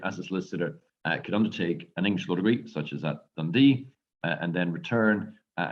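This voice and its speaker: A man with an Irish accent, a law lecturer and barrister, calmly answering students' questions in an online lecture. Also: low-pitched. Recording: dull lo-fi audio.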